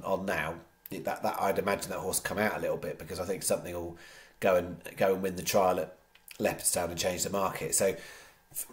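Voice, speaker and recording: A man with a low voice, congested from a cold, chats casually to camera as a racing tipster. He rambles, circles back and restarts his sentences. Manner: rambling, many restarts and fillers. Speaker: horse racing tipster host. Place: home studio.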